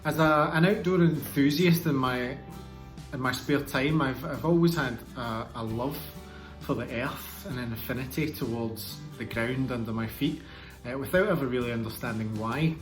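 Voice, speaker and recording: A man speaking in an earnest, measured way in a reflective interview. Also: mid-range voice, poor audio. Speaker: project team member. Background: guitar music.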